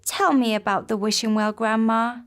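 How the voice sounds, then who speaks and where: A woman's voice: fairly high pitch, fairly even intonation, moderate pace, fairly bright tone. A woman voicing a sweet, earnest, affectionate little girl in a children's storybook. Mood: curious.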